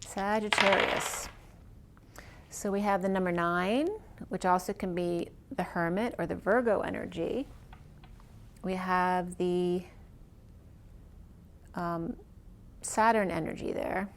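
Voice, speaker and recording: A woman's voice: lowish voice, fairly even intonation, takes it slowly, long pauses. A woman giving a casual tarot reading, talking in a chatty, meandering way with many fillers while she is losing her voice. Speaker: tarot reader.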